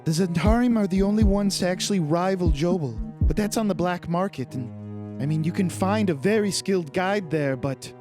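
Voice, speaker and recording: A woman's voice: fairly low pitch, normal speed, over music, natural voice. A woman playing an earnest young woman looking for help in a tabletop game, hesitant and pleading. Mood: wary. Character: earnest, needy young woman seeking her lost father.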